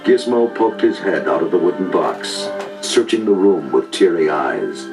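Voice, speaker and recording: A man's voice: low voice, big pitch swings, measured pace, gravelly, full character voice. A man narrating a children's read-along story in a dramatic, scripted style. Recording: distant vinyl record over loud music.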